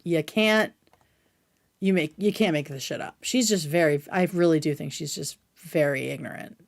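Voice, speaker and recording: A woman's voice: deep voice, moderate pace, vocal fry. A woman hosting a casual livestream commentary, speaking in a sarcastic, emphatic way with plenty of slang. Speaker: livestream host.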